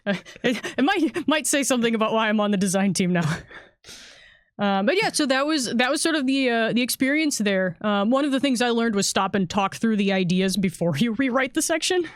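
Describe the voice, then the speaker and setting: A woman's voice: fairly high pitch, expressive, fairly quick, no room sound, clean studio sound. A woman talking casually as a game designer on a panel, explaining things in an informal, conversational way.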